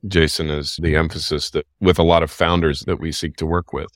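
A man's voice: very deep voice, flat delivery, brisk, dry recording, clean studio sound. A man co-hosting a podcast, reflectively summarizing what his guest has said in casual conversation. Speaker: podcast co-host.

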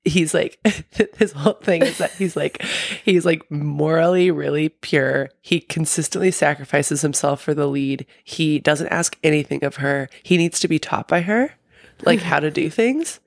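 A woman's voice: low-pitched, moderate pace, creaky, no room sound, clean studio sound. A young woman with a slight lisp and a congested, sniffly voice, co-hosting a podcast and rambling casually with lots of fillers and laughs. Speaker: podcast co-host. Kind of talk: casual recap chat.

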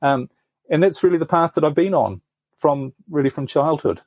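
Husky new guy, middle-aged, thinking out loud telling his story, dry close mic. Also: fairly high pitch, moderate pace, dry recording. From New Zealand. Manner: earnest, thoughtful storytelling with frequent restarts. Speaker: podcast guest. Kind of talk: reflective life story in an interview.

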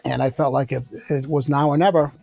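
A man in his sixties speaks at a mid pitch, answering in a measured, reflective way and often pausing to restart his thoughts. Faint music plays underneath his voice. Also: steady tone, gravelly, a little mellow, breathy edge. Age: 60s. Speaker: construction company founder. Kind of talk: conversational interview answers.

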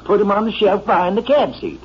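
An actor playing an apologetic, rambling rancher with deferential folksy talk in a 1950s radio western, on an old broadcast recording. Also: male voice, high voice, very animated, fairly quick, dull audio, slight background noise. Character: apologetic male Texas rancher with a small complaint.

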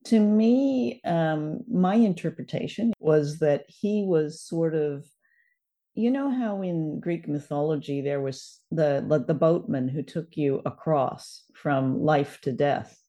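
A woman with a low, dark and mellow voice. She hosts a podcast in a casual, conversational style. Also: slow pace. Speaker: podcast host. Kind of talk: casual discussion.

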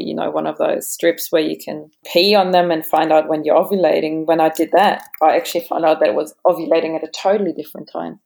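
A woman's voice: sits low, creaky, a little mellow. A woman in her forties with a German accent, telling personal stories conversationally as an interview guest. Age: 40s. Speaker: interview guest, yoga teacher.

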